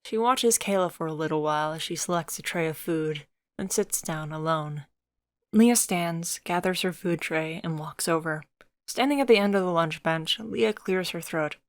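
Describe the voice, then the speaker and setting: A woman's voice: fairly low pitch, moderate pace, no room sound. A woman reading scripted story narration as the narrator.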